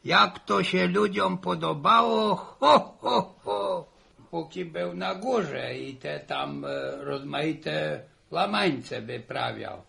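An older man playing a talkative, folksy country grandfather in a 1960s Polish radio serial, telling things in a halting, repetitive way. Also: high-pitched, expressive, pushed and bright, full character voice. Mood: amused. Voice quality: husky, gravelly. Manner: halting, repetitive rustic storytelling. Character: old rural grandfather, talkative, folksy storyteller. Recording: old 1960s radio recording.

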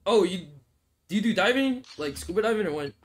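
Young guy streaming, kinda high voice, chill and rambly, game noise going behind him. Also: expressive, fairly quick. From Philippines. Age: young adult. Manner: laid-back, rambling, reads chat aloud, swears casually. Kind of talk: casual livestream chat while playing. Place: small room indoors. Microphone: headset mic. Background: game sounds and clicks.

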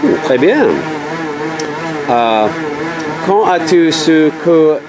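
A male interviewer, a native English speaker, asking simple questions haltingly and carefully with an English-speaking accent. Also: a little high, lively intonation, rough recording. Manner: halting, careful delivery in learner's French. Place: outdoors at a race festival, off camera. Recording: outdoor event with loud crowd bustle.

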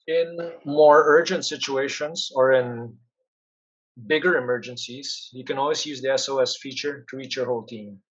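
Husky man narrating a software tutorial. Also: medium pitch, steady tone, moderate pace, muffled recording. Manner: step-by-step explanatory. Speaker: software demo presenter. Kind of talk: instructional screen-share narration.

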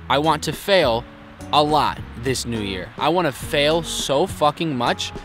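A man's voice: a little high, lively intonation, unhurried, a bit bright, faint music behind. A young man vlogging to camera in a city park, talking bluntly and swearing a lot, mocking himself as he goes. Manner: crude, blunt, self-mocking, heavy swearing. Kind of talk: casual vlog talk to camera.

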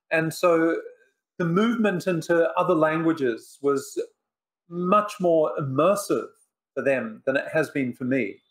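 Older man, reflective author on a video call. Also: on the high side. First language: Polish. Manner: measured, reflective, many "uh" and "um" pauses. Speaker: author and professor, guest. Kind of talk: thoughtful interview answers. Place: living room in front of bookshelves. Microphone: video call webcam.

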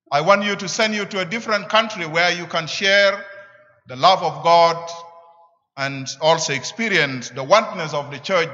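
A middle-aged man with a South Sudanese accent preaches a sermon deliberately, in short emphatic phrases, at a church lectern. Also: a little high, sharp tone. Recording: echoing church, slightly dull sound.

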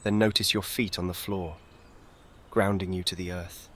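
A man guides a meditation as scripted narration, speaking calmly in measured, soothing, short and gentle instructions. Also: low voice, slight background noise.

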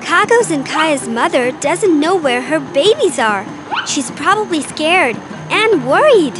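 Casting: cheerful cartoon girl, very high, lively pitch, kind and adventurous. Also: unhurried, music in the background, poor audio. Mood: concerned. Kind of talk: children's cartoon acting.

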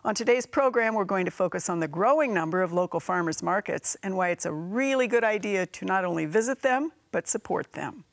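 A woman's voice: mid-range voice, expressive, brisk, gravelly, few pauses. A middle-aged American woman hosting and interviewing on a gardening show, recorded on a lapel mic in a studio. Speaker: TV host.

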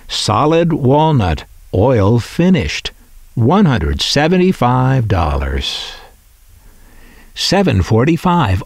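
Slow, lively-pitched male narrator. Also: no echo, studio quality. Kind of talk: scripted narration, reading vintage catalog copy.

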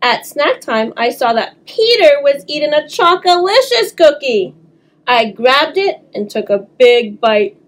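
A woman in her thirties reading aloud expressively for children's story time, animated and talking directly to young listeners. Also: high voice, unhurried. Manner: animated, talks to young listeners, draws words out. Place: small indoor room.

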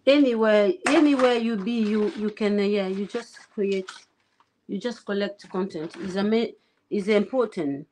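A woman's voice: mid-range voice, a little slow, darkish tone, slight background noise. A woman in her forties chatting casually on a livestream while she cleans her oven, greeting viewers by name. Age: 40s. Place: home kitchen, indoors. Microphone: phone camera pointed at the oven.

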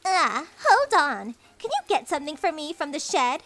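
A woman voicing a spiteful, bossy young sister-in-law in an animated story. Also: high-pitched, normal speed. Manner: bossy, sharp, dramatic.

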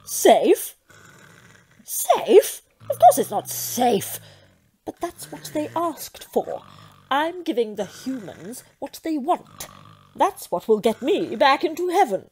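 A woman's voice: high-pitched, big pitch swings, slow, darkish tone, a little hiss or hum, full character voice. A woman playing a vain, imperious lady devil with theatrical menace in an audio comedy drama. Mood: defensive, dismissive.

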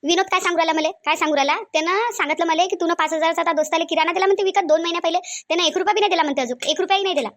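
A woman voicing a stern, nagging village mother-in-law in a cartoon, with a Varhadi Marathi accent. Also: extremely high pitch. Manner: nagging, loud, rapid scolding. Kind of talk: cartoon voice acting.

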